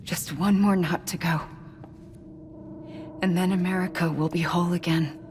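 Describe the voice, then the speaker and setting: An actress playing a solemn, mysterious woman with a secret burden, speaking earnestly and deliberately in a game cutscene. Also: fairly low pitch, fairly even intonation, a little mellow, a little hiss or hum, lightly acted. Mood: tender, confiding. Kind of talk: dramatic game cutscene dialogue.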